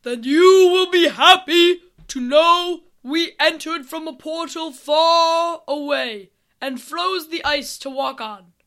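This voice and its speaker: Woman putting on a booming, commanding alien army leader. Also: expressive, a little slow, exaggerated voice. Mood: proud, grave. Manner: loud, booming, declamatory. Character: commanding, formal male leader of an otherworldly army. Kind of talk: storybook reading.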